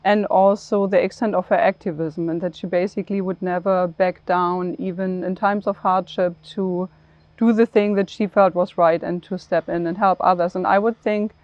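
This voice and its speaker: Even-toned woman panelist, earnest and measured. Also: on the low side, no room sound, muffled recording. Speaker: panelist, programme head. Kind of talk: conversational panel discussion.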